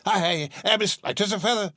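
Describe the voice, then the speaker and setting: A man's voice: high-pitched, expressive, moderate pace, fairly bright tone, no room sound, voice pushed up. A man voicing an overjoyed old miser who stammers and laughs between his words. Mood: giddy. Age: older. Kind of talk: audiobook character reading.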